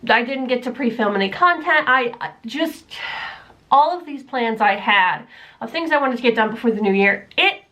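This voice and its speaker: A woman's voice: a little high, animated, normal speed, bright, forceful tone. A young woman vlogger chatting casually from a room at home, rambling with lots of fillers, sounding stuffy and nasal from allergies. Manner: rambling, many fillers, self-deprecating. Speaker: book vlogger. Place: room at home, not yet set up for filming. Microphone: camera mic, camera propped on books.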